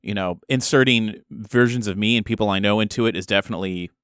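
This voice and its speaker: A man's voice: on the low side, fast talker, fairly bright tone, clear, resonant tone, no echo, clean studio sound. A man playing a tabletop role-playing game, improvising casually and thinking aloud in informal language.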